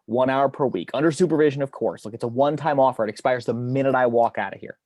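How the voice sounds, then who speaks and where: A man's voice: medium pitch, fast talker, darkish tone, dry recording, close to an everyday voice. Man playing a terse investigator, dry close sound. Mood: insistent. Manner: terse, insistent, controlled. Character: tense, driven male criminal investigator bargaining stubbornly. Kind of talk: dramatic table read.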